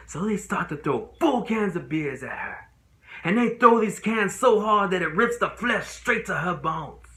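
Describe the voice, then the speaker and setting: A man acting a young trial lawyer delivering an earnest, pleading closing argument as a dramatic monologue, with deliberate, emphatic phrasing and pauses. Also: mid-range voice, sharp tone. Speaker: amateur actor.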